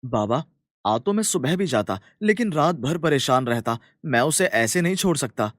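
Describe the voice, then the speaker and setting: A man voicing a kind, earnest young village farmer in a cartoon story, with a colloquial, animated manner. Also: a little high, moderate pace, no echo, clean studio sound, natural voice. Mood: earnest, apologetic. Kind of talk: Hindi cartoon story dialogue.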